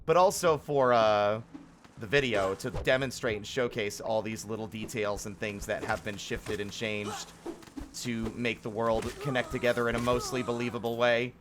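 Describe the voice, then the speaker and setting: A man's voice: medium pitch, steady tone, normal speed, background noise. A man giving casual live commentary as a game streamer, rambling and thinking aloud as he goes.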